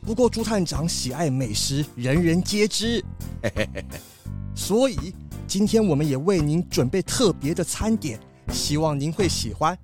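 A man's voice: a little high, expressive, measured pace, a little mellow, soft background music. A man playing a fawning, nervous capybara butler in a children's mystery audio drama. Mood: fawning, cheerful. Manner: obsequious, formal, stammering when evasive.

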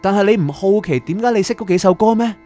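A man's voice: sits high, lively intonation, fast, warm tone, soft background music. A man playing a curious, talkative soul in a radio drama, eager and wheedling as he explains things. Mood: probing, persuasive. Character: curious, talkative bargaining soul of an anime fan. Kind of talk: Cantonese radio drama acting.

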